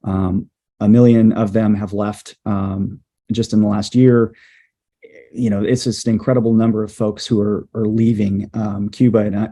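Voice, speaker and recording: A man, a storm chaser and journalist, telling a story in a casual interview, animated and full of fillers. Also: fairly low pitch. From USA. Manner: animated, hesitant fillers, quickens at times.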